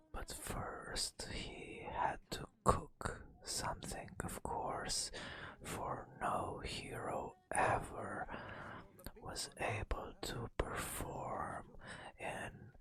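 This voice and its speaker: Very slow whispering male ASMR storyteller. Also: sharp tone. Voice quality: breathy whisper. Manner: slow, deliberate phrasing with long pauses. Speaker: ASMR gaming narrator. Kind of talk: soft-spoken ASMR storytelling.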